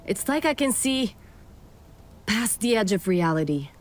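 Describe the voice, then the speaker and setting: A woman's voice: mid-range voice, very animated, unhurried, a little hiss or hum. A woman playing a proud, commanding night elf warrior in a fantasy radio play, speaking formally and curtly. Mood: alarmed, questioning.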